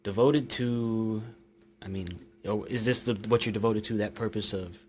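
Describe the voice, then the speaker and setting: A man's voice: on the low side, fairly even intonation, moderate pace, darkish tone, slight background noise. A man hosting a casual podcast interview, laid-back and friendly, calling his guest "dude". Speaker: podcast host.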